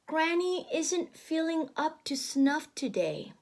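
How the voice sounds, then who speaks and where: A caring storybook mother, read by a woman, high-voiced and deliberate. She gives gentle but firm instructions to her daughter, slowly and clearly. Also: very animated, full character voice.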